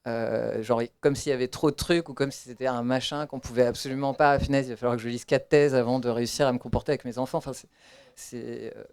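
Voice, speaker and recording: A man's voice: medium pitch, steady tone, fast, darkish tone, smooth. A man, the author of the book, answering audience questions in a hesitant way with many fillers and restarts. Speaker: author presenting his book.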